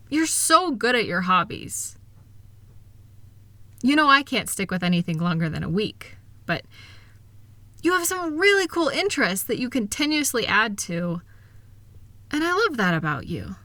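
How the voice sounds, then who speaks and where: A woman playing a kind, reassuring wife who gently and earnestly encourages her partner in an intimate one-sided roleplay audio. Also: mid-range voice, dry recording, exaggerated voice. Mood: affectionate, encouraging.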